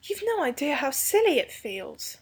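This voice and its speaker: A woman's voice: high-pitched, big pitch swings, moderate pace, pitched above the performer's own voice. A woman voicing an indignant, blunt schoolboy who speaks scornfully.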